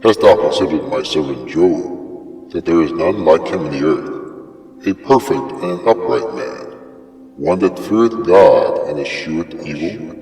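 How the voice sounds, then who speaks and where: A majestic male deity in a dramatic scripture reading. He speaks very slowly in a lowish, commanding voice, heard from a distance inside a huge, long echo with music behind. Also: dark tone. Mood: solemn authority.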